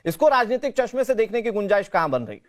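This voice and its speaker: Male debate anchor, very high voice, insistent, interrupts to press pointed questions. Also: big pitch swings, fast talker.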